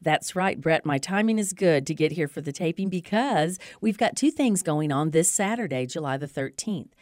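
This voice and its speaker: A woman's voice: low-pitched, expressive, moderate pace, darkish tone, no room sound, clean studio sound. A woman on local radio giving an informative, conversational rundown of community events with emphatic, promotional phrasing.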